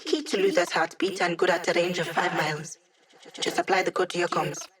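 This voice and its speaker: A woman's voice: on the low side, fairly even intonation, a little fast, fairly bright tone, lightly acted. Woman acting cheeky young hacker girl from a video game, breezy n wry, techy squad support chatter. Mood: focused, eager. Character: witty young female hacker, a digitized mind, cheeky squad helper.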